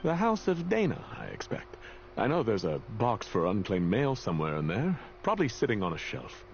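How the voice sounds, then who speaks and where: An actor playing a kindly, apologetic, self-doubting professor in a video game, speaking in a gentle, halting way. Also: male voice, on the low side, huge pitch swings, gravelly, lo-fi sound, faint music behind, exaggerated voice. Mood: helpful. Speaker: professor and teacher character.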